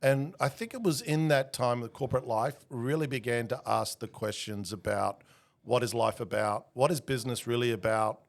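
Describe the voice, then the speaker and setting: A man in his forties, born in Argentina and raised in Australia, telling his story as a podcast guest in a relaxed, self-deprecating way with many fillers. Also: mid-range voice, moderate pace, creaky. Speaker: guest, executive coach. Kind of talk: casual interview talk.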